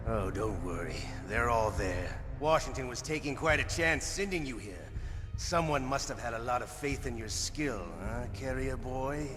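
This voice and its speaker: A man's voice: mid-range voice, normal speed, natural voice. An actor playing a sly, sadistic male interrogator in a video game, mocking and relishing his menace. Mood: mocking, probing. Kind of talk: dramatic video game voice acting. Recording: long hall-like reverb. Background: music.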